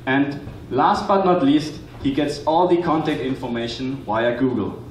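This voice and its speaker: A man in his twenties presenting a rehearsed pitch as a student on a hall stage. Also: a little slow. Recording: echoey, noisy hall, distant microphone pickup.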